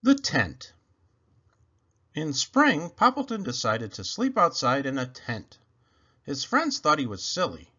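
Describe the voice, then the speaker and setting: A man narrating a children's storybook in an expressive read-aloud style. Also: a little high, slow pace, long pauses, breathy edge, highs cut off. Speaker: storybook reader.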